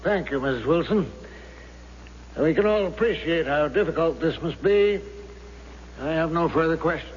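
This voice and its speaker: An actor playing a courteous courtroom lawyer in a radio drama, with a formal, measured and deliberate way of questioning. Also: male voice, a little high, lively intonation, lightly acted. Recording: echoey hall, dull old recording, faint hum.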